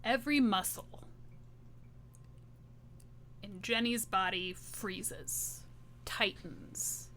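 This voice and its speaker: A woman playing a tabletop roleplaying game, describing her character with deliberate, dramatic phrasing. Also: medium pitch, fairly bright tone, a little hiss or hum.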